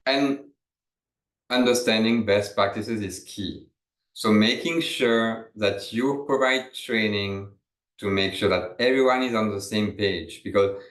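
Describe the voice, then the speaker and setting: A man's voice: fairly low pitch, fairly bright tone, echoey room, rough recording. A man from France with a French accent, presenting a business webinar in a loose, conversational way with restarts.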